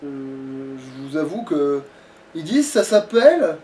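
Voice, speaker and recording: A woman's voice: low voice, big pitch swings, measured pace, warm tone. A woman doing casual, rambling let's play commentary as the player, with informal swearing. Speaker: let's player playing the game. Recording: distant mic, background noise.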